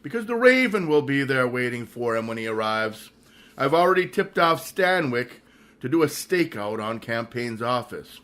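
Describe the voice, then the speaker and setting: A man playing a stern, self-assured super sleuth with a commanding, deliberate delivery in a radio mystery. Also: medium pitch, sing-song, a bit bright. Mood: confident.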